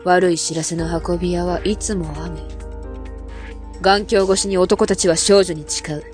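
Woman doing dramatic preview narration, kinda low voice, music under it. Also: steady tone, unhurried, a little mellow. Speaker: next-episode preview narrator.

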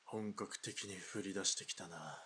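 A man's voice: low voice, moderate pace, sharp tone, a little hiss or hum. A man playing a stern Japanese army lieutenant colonel in a radio drama, curt and commanding. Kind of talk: hobby radio drama acting.

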